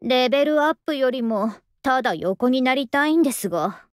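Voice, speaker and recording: A woman playing a lazy, polite, softly affectionate young masked soldier in an anime game, with an understated, languid delivery. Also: high voice, animated, normal speed, no echo, studio quality. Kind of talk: Japanese anime game voice acting.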